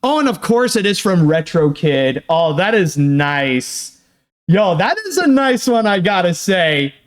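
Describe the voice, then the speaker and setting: A young Canadian man chats his way through opening packages from fans, his high voice leaping up and down. He takes his time, full of fillers, restarts and sudden bursts of excitement. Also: a little slow. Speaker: channel host opening fan mail. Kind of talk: casual unboxing chat.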